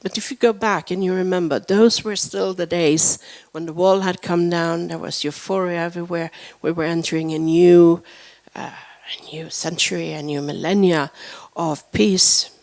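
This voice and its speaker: A woman in her fifties speaks with a hoarse, gravelly voice worn down by a flu. She gives a panel talk at a conference through a handheld microphone, often pausing to search for her words. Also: on the low side, fairly even intonation, darkish tone. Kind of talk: conference presentation.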